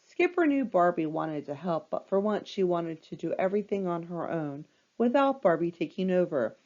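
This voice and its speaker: Animated woman reading a children's story. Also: on the low side, normal speed, highs cut off. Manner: expressive, dramatic delivery for young children. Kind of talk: scripted read-aloud storytelling.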